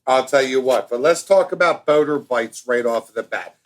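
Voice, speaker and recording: An American man co-hosting a podcast in casual, bantering talk, with an animated, showy and teasing manner. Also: mid-range voice, moderate pace. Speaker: podcast co-host.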